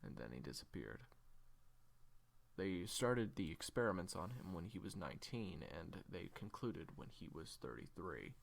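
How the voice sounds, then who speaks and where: A man playing a weary, secretive assassin in an audio drama, telling a dark past haltingly in a gravelly voice that slips toward a whisper. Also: deep voice, big pitch swings, heavily acted. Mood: grim, subdued. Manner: halting, deliberate, with heavy pauses. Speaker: audio roleplay voice actor. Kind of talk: hushed confessional storytelling in audio drama.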